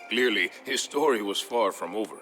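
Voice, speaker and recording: An actor playing a male narrator who recounts an old legend in a measured, scripted storybook manner for a video game. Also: lowish voice, lively intonation, music in the background, close to an everyday voice. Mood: solemn storytelling.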